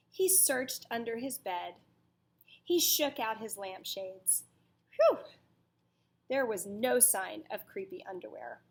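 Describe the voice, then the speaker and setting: Female children's read-aloud teacher. High-pitched, very unhurried, dramatic storytelling, puts questions to young listeners. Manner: deliberate, dramatic storytelling delivery.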